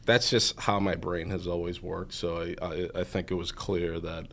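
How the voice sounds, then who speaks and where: A man in his forties with a very low voice, roughened by a gravelly fry. He talks loosely and keeps circling back with "you know" as he recalls the past. The tone is relaxed and conversational, a guest talking at ease. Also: normal speed. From Massachusetts. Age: 40s. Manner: rambling, heavy on "you know" fillers. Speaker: guest, entrepreneur. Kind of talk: casual interview storytelling.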